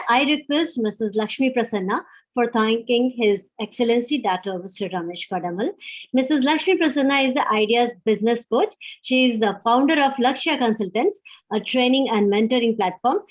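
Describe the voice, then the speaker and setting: A woman moderator with a somewhat high voice, introducing the next speaker in a polite, ceremonious way. The recording sounds dull and lo-fi. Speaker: event moderator. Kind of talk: formal introduction.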